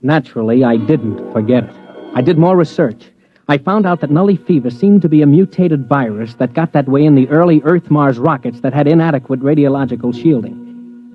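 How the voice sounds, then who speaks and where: An actor playing a brash, wry news reporter in old-time radio drama, casual and hard-boiled. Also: male voice, mid-range voice, natural voice. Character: brash, cocksure male news reporter narrating his exploits. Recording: muffled old radio recording.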